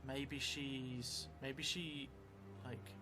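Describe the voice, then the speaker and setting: A middle-aged man thinking aloud as he improvises a story for a solo role-playing game, halting and musing. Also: medium pitch, level tone. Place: small indoor room at a table. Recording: music behind the voice.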